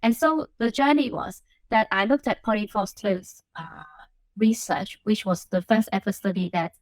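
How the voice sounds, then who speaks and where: A woman's voice: mid-range voice, normal speed, no room sound, rough recording. A woman from Hong Kong, an assistant professor, answering interview questions in a reflective way with many "like" and "um" fillers. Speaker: assistant professor, podcast guest.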